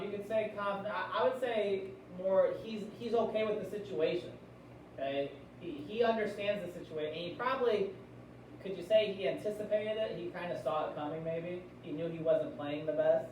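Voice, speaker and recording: Echoey, higher-voiced American man teaching. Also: moderate pace. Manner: explanatory, often checking in with listeners with questions. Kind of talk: classroom read-aloud with discussion questions. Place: classroom. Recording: very echoey room, distant mic, noisy.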